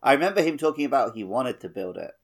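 A man's voice: mid-range voice, animated, quick pace. A man co-hosting a podcast, chatting casually about a video game. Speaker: podcast co-host.

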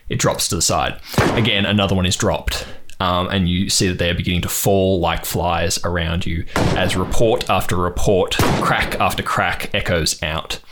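Deep-voiced man narrating as game master. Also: normal speed, few pauses, noisy background. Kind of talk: improvised narration of a horror tabletop game. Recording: loud music behind the voice.

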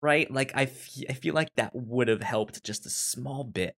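A man co-hosting a podcast in casual conversation, with a stutter that repeats sounds and words and a halting delivery. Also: mid-range voice, vocal fry, fairly bright tone. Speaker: podcast co-host. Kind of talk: casual chat discussing a TV series.

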